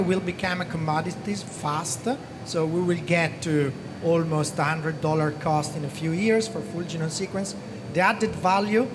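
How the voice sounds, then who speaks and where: A man in his thirties from Italy with an Italian accent, speaking on a conference panel into a handheld mic, earnest and repeating "okay?" often. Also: on the high side. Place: large conference hall stage. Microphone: handheld microphone. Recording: reverberant hall with background noise.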